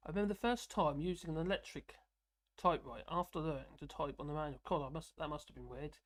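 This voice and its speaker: Man, UK, light high-ish voice, casual live chat, rambling, chuckles a lot. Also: quick pace. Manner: rambling, self-deprecating, chuckling often. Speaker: live stream host.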